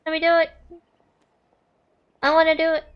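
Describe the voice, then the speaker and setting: High-voiced, playful woman streamer. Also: completely flat delivery, normal speed, dull audio. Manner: playful, exclaiming, blunt swearing. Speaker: game streamer. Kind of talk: casual gameplay commentary. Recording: game music behind the voice. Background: game music.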